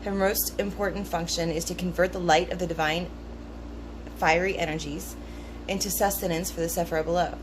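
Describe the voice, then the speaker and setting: A relaxed woman with a lowish voice talks through a reading in a loose, thoughtful way, pausing often with ums. An air-conditioner hum sits clearly behind her. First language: English. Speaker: vlogger discussing esoteric teachings. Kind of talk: casual rambling talk mixed with reading aloud. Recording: noticeable background hum.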